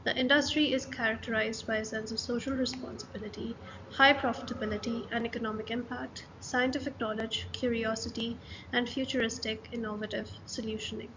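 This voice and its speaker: A young woman, a student presenter, reading a scripted presentation in an even, list-like way over a laptop webcam in a small room. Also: on the high side, brisk. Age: young adult. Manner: even, read-aloud delivery with list-like pacing. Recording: lo-fi, echoey room with hiss.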